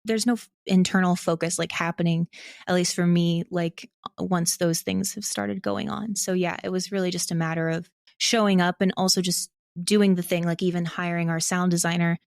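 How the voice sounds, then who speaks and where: A woman's voice: fairly low pitch, moderate pace, vocal fry, no room sound, clean studio sound. A woman guest speaking casually and candidly in an interview, often saying "like" and acting out her own thoughts. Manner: candid, animated self-quoting, frequent "like". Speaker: podcast guest, audio drama creator.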